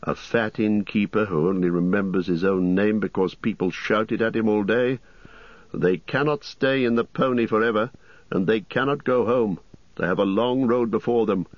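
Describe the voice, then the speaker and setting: A man voicing a stern, grave male ranger who speaks bluntly and deliberately in a storybook reading. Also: on the low side, dry recording, muffled recording, voice pushed up, lightly acted. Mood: stern, scornful.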